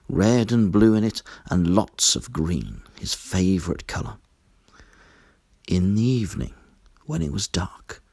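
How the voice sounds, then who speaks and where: A man narrating a children's story in an expressive, deliberate storytelling manner. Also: low voice, slightly rough, dry recording, clean studio sound. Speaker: storybook reader.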